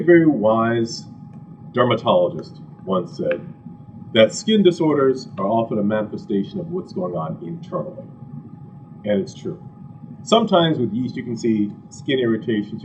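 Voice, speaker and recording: A man from Ghana giving an animated, conversational health lecture to a live audience, full of asides and rhetorical questions. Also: lowish voice. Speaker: physician giving a health talk. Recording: distant, dull, slightly noisy room recording.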